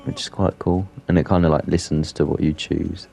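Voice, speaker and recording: A man's voice: low-pitched, level tone, a little fast, gravelly, dark tone. A man giving casual commentary over a game playthrough in a hesitant, rambling way. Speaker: gameplay streamer.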